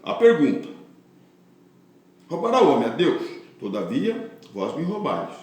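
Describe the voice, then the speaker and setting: A man with a Brazilian accent preaching a Bible teaching sermon in deliberate phrases, with devout interjections of praise. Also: a little high, huge pitch swings, a little mellow. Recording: very echoey, reverberant room.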